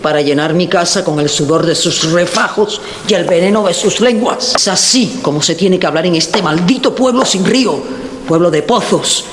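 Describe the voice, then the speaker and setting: An actress playing a tyrannical, bitter older village matriarch in a tragic drama, commanding, severe and theatrical. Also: female voice, on the low side, normal speed, close to an everyday voice. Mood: bitter, scornful. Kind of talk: classic tragic drama acting. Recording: echoey hall with background noise.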